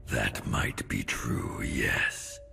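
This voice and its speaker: An extremely deep, slow-talking arch-villain for a fantasy game. His voice is thick with gravel, and he sounds suave, gloating and coldly patronizing. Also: music in the background, full character voice. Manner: unhurried, mock-courteous, gloating. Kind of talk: dramatic video game villain acting.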